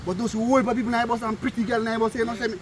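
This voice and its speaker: A Jamaican man telling stories and bantering casually with friends, in an animated, argumentative way, repeating phrases for emphasis. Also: extremely high pitch, racing. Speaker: storyteller among friends. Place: outdoors. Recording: noisy outdoor recording with street sounds.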